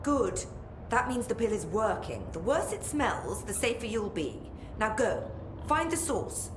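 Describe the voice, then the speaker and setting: A woman's voice: a little high, very animated, measured pace. A woman voicing a haughty, brusque academic scientist in a video game, precise and dryly exasperated. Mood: dry, imperious. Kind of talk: video game dialogue acting. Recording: roomy echo with background noise.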